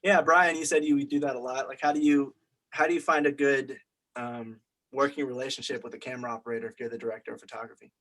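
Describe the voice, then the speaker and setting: A man in a casual student discussion, explaining things in a halting way and repeating words. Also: medium pitch, steady tone, fairly bright tone, poor audio. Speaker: film student in a group meeting.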